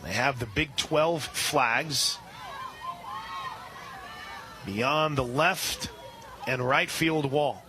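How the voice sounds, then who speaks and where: A man calling a softball game on the radio, describing each pitch and reading sponsor spots between plays. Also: extremely slow, long pauses, a crowd in the background, background noise. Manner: rises sharply and loud on big plays. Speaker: radio play-by-play announcer. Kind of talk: live softball play-by-play.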